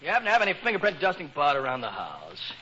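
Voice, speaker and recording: An actor playing an excitable, self-important teenage son, dramatic and exasperated, in a 1940s radio comedy. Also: male voice, on the high side, racing, full character voice. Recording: muffled, hissy 1940s radio recording.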